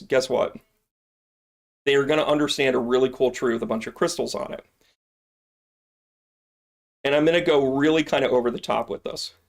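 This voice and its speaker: A middle-aged man hosting a live stream from his shop, showing off products in a casual, joking, rambling way. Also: medium pitch. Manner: joking, rambling, salesy asides.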